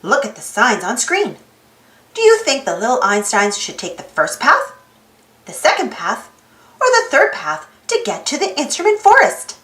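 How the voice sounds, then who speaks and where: A woman reading a storybook aloud to children in an expressive narrating style, inviting young listeners in. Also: sits high, moderate pace, roomy sound, rough recording.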